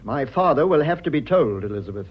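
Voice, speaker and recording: An actor playing a prim, fussy, earnest son with an upper-class English accent in a radio drama. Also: male voice, mid-range voice, sing-song, quick pace, slightly rough, highs cut off. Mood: indignant, rueful. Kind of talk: stage comedy of manners radio acting.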